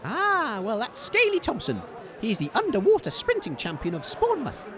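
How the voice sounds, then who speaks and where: A man playing an excitable, good-natured comic sports commentator, enthusiastic but hesitant and easily flustered. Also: extremely high pitch, moderate pace, crowd noise, heavily acted. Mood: cheerful announcing. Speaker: sports commentator and radio co-host.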